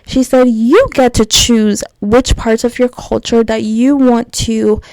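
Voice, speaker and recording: A young woman with a higher voice and a gravelly, fry-laden edge. She talks earnestly and casually, full of "like" and "kinda", into a close, dry mic with no room sound. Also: fairly even intonation, slow pace, darkish tone, no echo, rough recording. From United States. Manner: casual, filler-heavy, earnest and reflective. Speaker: podcast host. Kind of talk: solo podcast monologue.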